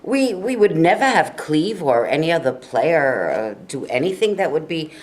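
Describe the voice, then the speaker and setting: A woman playing an earnest, reassuring high school football coach in a staged reading, with a measured, persuasive delivery. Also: very animated. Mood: defensive, persuasive.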